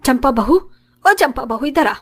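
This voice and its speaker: An actress plays a middle-aged Indian mother-in-law in a family cartoon. Her voice is somewhat high, and she talks in grumbles and exclamations like a put-upon matriarch. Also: animated, unhurried. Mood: grumbling. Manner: muttering complaints, exclaiming. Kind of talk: moral story cartoon acting.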